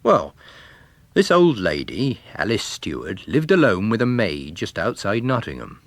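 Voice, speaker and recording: Guy as a gossipy police sergeant, matter of fact, colloquial, low voice, audiobook. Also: very animated, a little slow, no echo. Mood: explaining.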